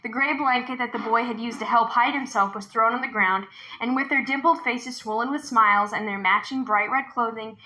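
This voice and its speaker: Bright, high-voiced woman reading a story. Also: brisk, low-quality recording. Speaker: storybook narrator. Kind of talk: expressive read-aloud of a children's novel.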